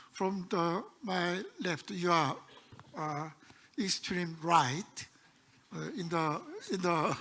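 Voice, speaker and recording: A man moderating a conference panel, measured and hesitant with frequent fillers and restarts. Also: high-pitched, a bit bright.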